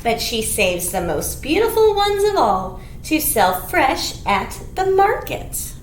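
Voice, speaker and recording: A woman presents a children's storytime in a very high, sing-song voice. Her pitch swoops widely and she takes her time, phrasing simply for little listeners. The room sounds echoey. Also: big pitch swings, takes it slowly. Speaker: children's librarian hosting storytime. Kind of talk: children's storytime read-aloud with songs and rhymes. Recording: echoey room, clear background noise.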